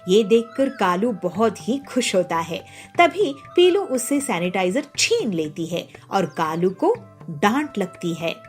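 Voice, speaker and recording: A woman narrating a children's cartoon story in a calm, even manner. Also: mid-range voice, unhurried, soft background music.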